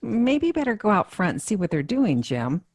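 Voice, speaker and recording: Husky, polite mother. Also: medium pitch, big pitch swings, normal speed, darkish tone. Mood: mildly puzzled. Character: polite, reserved family mother. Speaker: actor in a radio play. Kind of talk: family radio drama acting.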